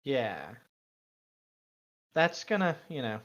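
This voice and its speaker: A man's voice: mid-range voice, huge pitch swings, slow, a bit bright, muffled recording. A man casually reading a story aloud and riffing on it as a comedic co-host. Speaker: co-host of a fan fiction reading show.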